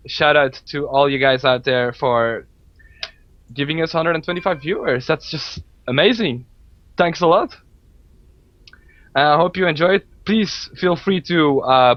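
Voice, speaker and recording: A man from Belgium, not a native English speaker, casting a live esports match in a relaxed, chatty way with many fillers. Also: a little high, no room sound. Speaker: esports caster. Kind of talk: live game commentary. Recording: dull lo-fi stream audio, faint music.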